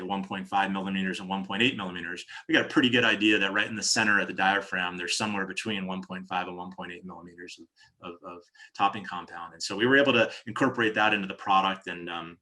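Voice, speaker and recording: A man's voice: deep voice, sharp tone. An American man from New Jersey speaking as a company executive in an interview, explaining products conversationally and often restarting his phrases. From New Jersey, United States.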